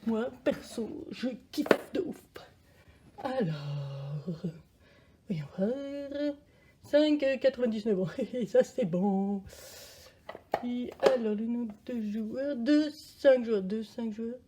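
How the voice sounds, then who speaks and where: Childish, self-pitying loner for a kids' sketch, played by a woman: highish, mellow, slangy, exaggerated muttering to self. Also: lively intonation, slow, echoey room, a little hiss or hum. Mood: whiny despair. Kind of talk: playful children's show sketch.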